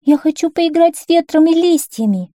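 A woman's voice: expressive, moderate pace, warm tone, no room sound, clean studio sound. A woman voicing an eager, impulsive little princess girl in a storybook. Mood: eager longing. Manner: high, eager.

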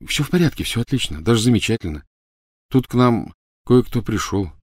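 A man voicing a firm teenage boy wizard trying to calm his friends in an audiobook reading. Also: medium pitch, fairly quick, husky, no room sound, clean studio sound, voice pushed up. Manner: firm, calming.

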